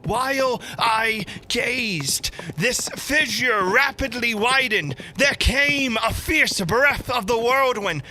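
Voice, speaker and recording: A woman storyteller reading a classic horror tale as dramatic gothic narration, with theatrical, deliberate phrasing. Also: fairly high pitch, bright, forceful tone, slight background noise.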